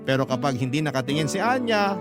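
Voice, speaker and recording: A man's voice: fairly high pitch, big pitch swings, fast. A man narrating a dramatic first-person love story in Tagalog as a radio storyteller. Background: guitar music.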